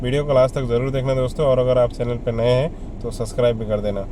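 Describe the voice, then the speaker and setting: A man's voice: mid-range voice, level tone, quick pace, dark tone, a little hiss or hum. A man giving casual, conversational advice to viewers as a vlogger, often repeating himself and listing examples. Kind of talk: casual explainer addressed to viewers.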